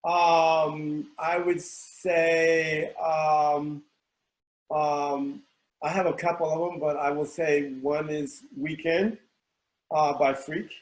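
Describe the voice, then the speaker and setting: Slow-talking man in an echoey room. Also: fairly high pitch, flat delivery. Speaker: guest. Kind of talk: casual chat. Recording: roomy, echoing live-stream audio.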